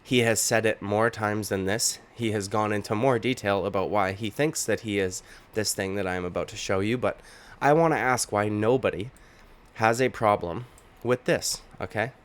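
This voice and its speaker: A man's voice: lowish voice, normal speed. Indignant man ranting. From Canada. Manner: indignant, ranting, repeats himself. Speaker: MMA commentary YouTuber. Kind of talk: opinionated reaction commentary.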